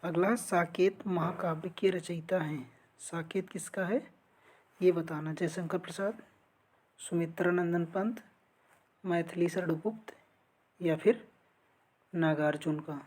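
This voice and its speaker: A woman's voice: lowish voice, steady tone, unhurried, long pauses, darkish tone, breathy edge. A woman teaching an exam-prep lesson, reading out quiz questions and answering them in an instructional way. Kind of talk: lesson going through multiple-choice quiz questions.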